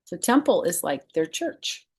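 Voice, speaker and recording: Woman reading aloud, slightly low-pitched. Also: very animated, measured pace, slightly rough. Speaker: storytime host reading a picture book to children. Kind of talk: expressive read-aloud of a children's book with chatty asides.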